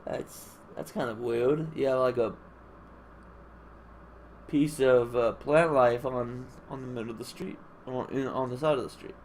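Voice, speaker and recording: A man's voice: medium pitch, steady tone, a little slow, darkish tone. Stuffy-nosed man doing casual gameplay commentary. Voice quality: nasal, stuffy nose. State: congested nose. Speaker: gaming video host. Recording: clear background noise on mic.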